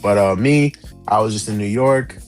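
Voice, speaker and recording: A man co-hosting a casual podcast, talking in a laid-back, slangy way and getting animated when he tells a story. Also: on the low side, normal speed, soft background music. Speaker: podcast co-host.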